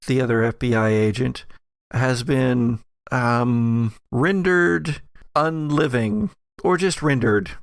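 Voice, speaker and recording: A man hosting a horror role-playing game as game master, narrating in a theatrical, deliberate storytelling way. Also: mid-range voice, smooth, no echo, clean studio sound. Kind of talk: dramatic narration of horror actual-play game.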